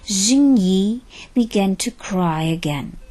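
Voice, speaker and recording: A woman's voice: mid-range voice, expressive, slow, mellow. A woman narrating a scripted audio drama as a storyteller. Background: music.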